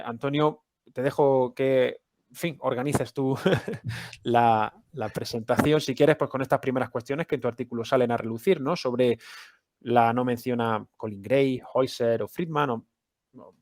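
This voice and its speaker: A man hosting a scholarly interview podcast, speaking at an average pitch in a measured, searching way. He pauses often and restarts phrases. The sound is dry and close, with little room in it. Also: dry recording. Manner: measured, hesitant, many fillers and restarts. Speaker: podcast host.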